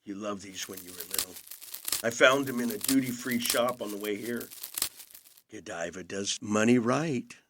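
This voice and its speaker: Low-voiced, charming older brother. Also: male voice, normal speed. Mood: gentle, apologetic. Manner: gentle, wry, understated. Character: aging movie-star brother, charming, evasive, gently attentive. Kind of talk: audio drama acting.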